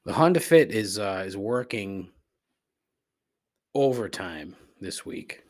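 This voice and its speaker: A man's voice: fairly low pitch, sing-song, takes it slowly. A man hosting a podcast, rambling casually and profanely as he responds to listeners with conversational gripes. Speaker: podcast host. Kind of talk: casual rambling solo commentary, answering voicemails.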